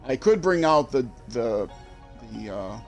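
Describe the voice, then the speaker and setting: An older man with a mild Minnesota accent chats his way through a game stream. His voice sits in an ordinary middle pitch, loose and self-deprecating, drifting between asides. Soft game music plays faintly behind him. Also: sing-song, slow. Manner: rambling, self-deprecating, chuckling to himself. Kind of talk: casual gameplay commentary.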